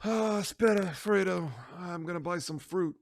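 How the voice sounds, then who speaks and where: A man playing an aging mob boss, high and strained. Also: normal speed, gravelly, no room sound, studio quality. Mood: easygoing. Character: aging Italian-American crime boss father.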